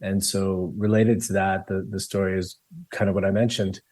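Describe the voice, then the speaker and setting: A man's voice: low voice, little pitch movement, normal speed, a little mellow, dry recording. A man from Colombia, founder of a football club, answering interview questions in a rambling way, with many ums and restarts and easy laughs.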